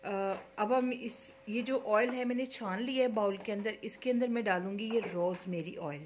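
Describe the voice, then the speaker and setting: A woman's voice: medium pitch, moderate pace, slight background noise. Chatty woman demonstrating a hair oil recipe. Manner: chatty, explains step by step with fillers. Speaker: beauty salon owner. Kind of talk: home cooking-style hair oil tutorial. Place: home kitchen at stove.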